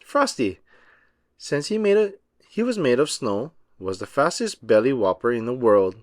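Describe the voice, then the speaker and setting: A man narrating a children's storybook aloud as an expressive, emphatic storyteller. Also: medium pitch, normal speed, no room sound, studio quality.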